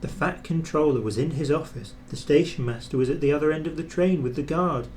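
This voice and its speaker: A man's voice: a little high, fairly quick, darkish tone. A man narrating a children's storybook as a storyteller. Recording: clear background noise.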